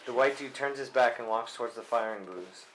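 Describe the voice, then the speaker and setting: A man's voice: medium pitch, fairly quick. A man plainly reading stage directions at a script table read, now and then stumbling over a word. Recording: faintly noisy live room recording.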